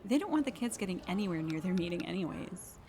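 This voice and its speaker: A breezy camp chaperone, played by a woman in an audio drama. Her delivery is animated, casual and placating, with faint noise behind her. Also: lowish voice, fast. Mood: casual, reassuring. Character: friendly, breezy, apologetic adult camp chaperone.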